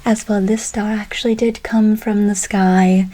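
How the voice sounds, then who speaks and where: A woman's voice: mid-range voice, flat delivery, mellow, natural voice. Whispering, doting witch played by a woman. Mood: soothing, enchanting. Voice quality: breathy whisper. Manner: slow, soothing, repeats words, calls listener darling. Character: gentle doting forest witch casting a spell. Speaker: ASMR performer. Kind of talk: whispered ASMR role play.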